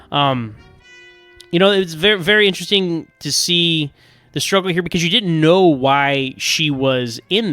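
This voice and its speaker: A man's voice: on the high side, sharp tone, dry recording. A man giving casual, rambling episode commentary as a podcast host, often stammering over repeated words.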